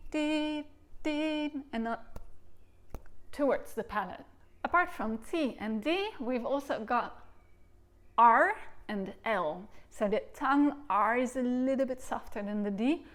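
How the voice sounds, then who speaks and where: A woman in her thirties with a Dutch accent, teaching recorder technique from her sofa and sounding out the syllables slowly as she demonstrates. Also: high-pitched. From Netherlands. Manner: demonstrates syllables by singing and speaking them slowly. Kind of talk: instructional video lesson. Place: living room, seated on a sofa.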